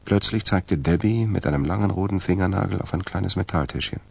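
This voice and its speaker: A man narrating a radio crime drama from a script in a gravelly voice. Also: deep voice, brisk, darkish tone. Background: music.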